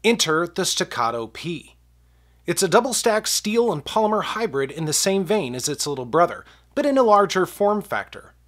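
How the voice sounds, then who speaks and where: A man's voice: sits high, big pitch swings, normal speed, fairly bright tone. A man with a stuffed-up voice from a cold, hosting a casual gun review and chatting with viewers as "guys.". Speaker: gun review channel host. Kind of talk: casual product review talk to camera.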